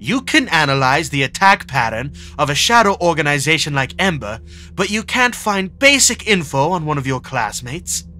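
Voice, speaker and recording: A man's voice: fairly high pitch, big pitch swings, normal speed, bright, forceful tone. A man voicing an arrogant, commanding teenage schoolboy in a comic dub, curt and cold. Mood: menacing, demanding. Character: arrogant, commanding high-ranking teenage schoolboy obsessed with hierarchy. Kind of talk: dramatic comic dub acting.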